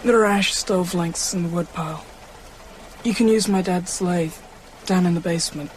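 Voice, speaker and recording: A woman's voice: on the low side, moderate pace, darkish tone, natural voice, rough recording. A woman voicing a young boy numbed by grief in an audio drama, speaking in a subdued, grave way. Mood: numb, grieving. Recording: clear rain-like noise behind voice.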